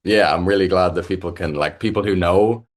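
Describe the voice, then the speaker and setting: Soft-spoken young Irish man. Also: low-pitched. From Ireland. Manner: halting, many fillers and restarts. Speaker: musician guest. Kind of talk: casual interview chat.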